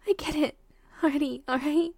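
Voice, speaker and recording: A woman playing a tender, emotional young girlfriend in an intimate roleplay audio drama, speaking softly and breathily, often in whispers, with affection. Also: sits high, steady tone, a little fast, no room sound. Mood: teasing.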